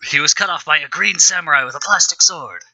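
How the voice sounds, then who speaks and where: A man's voice: a little high, brisk, gravelly, bright, forceful tone, low-quality recording. A man reading his own amateur story aloud as a host, with wry, self-deprecating asides.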